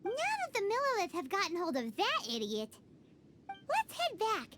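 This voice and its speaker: A woman voicing a tiny floating fairy companion who is bossy and bratty, with a high squeaky childlike voice and a habit of speaking of herself in the third person. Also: wildly swooping pitch, moderate pace, noisy background, exaggerated voice. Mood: scornful. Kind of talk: video game voice acting. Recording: game audio with loud music behind.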